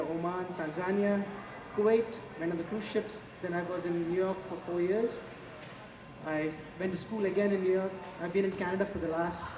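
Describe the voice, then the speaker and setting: Indian-accented male chef in an echoey, noisy space. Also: high-pitched. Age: 40s. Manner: chatty, informal, halting delivery. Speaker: chef giving a cooking demonstration. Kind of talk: casual live cooking demonstration. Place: large echoey event space before an audience. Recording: echoey, noisy public hall, distant mic.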